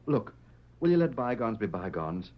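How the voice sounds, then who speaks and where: Casting: pleading western townsman, husky and animated, folksy halting speech, muffled vintage radio sound. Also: on the low side. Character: desperate, pleading Old West townsman, earnest. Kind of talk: 1940s radio western acting.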